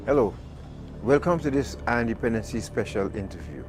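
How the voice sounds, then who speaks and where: A man's voice: medium pitch, big pitch swings, normal speed, over music. A man hosting a live radio talk show, chatty and prone to repeating words. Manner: conversational, repeats words while searching.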